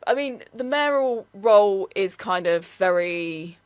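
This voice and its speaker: A woman's voice: medium pitch, animated, measured pace, smooth, no room sound. A woman presenting a local radio show, talking in a chatty way with plenty of ums and likes. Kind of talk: casual radio chat.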